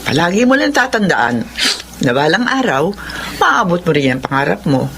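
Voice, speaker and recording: A woman's voice: lowish voice, very animated, normal speed, heavy background noise. An actress playing a caring middle-aged mother who soothes and encourages her daughter. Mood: comforting. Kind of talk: Filipino radio drama acting.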